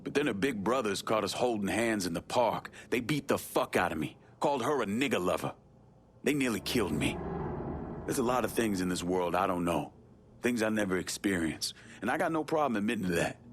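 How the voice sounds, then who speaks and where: An actor as a deep-voiced, blunt Southern war veteran. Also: male voice, expressive, fairly quick, slight background noise, close to an everyday voice. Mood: firm, indignant. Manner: blunt, guarded, profane. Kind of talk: naturalistic video game cutscene acting.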